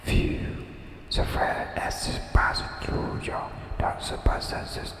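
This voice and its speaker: A man whispering a guided breathing meditation in a slow, soothing way, leaving long pauses. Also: fairly bright tone. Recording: echoey room with long reverb.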